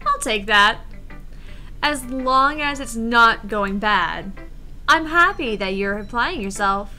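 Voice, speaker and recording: Brief: woman as friendly, teasing schoolgirl club member in a visual novel, bright high voice, faint music behind. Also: animated, takes it slowly, exaggerated voice. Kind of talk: visual novel read-aloud.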